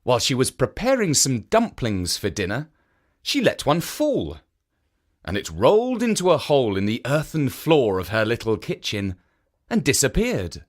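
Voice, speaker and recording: A man reading a children's story as scripted narration, in an expressive, measured storytelling manner. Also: medium pitch.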